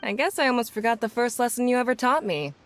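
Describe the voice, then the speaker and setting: Game crew member played by a woman: young female sailor, chummy and eager, swingy highish delivery. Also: lively intonation, quick pace, natural voice. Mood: eager, admiring. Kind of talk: scripted game dialogue. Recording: game audio with music behind.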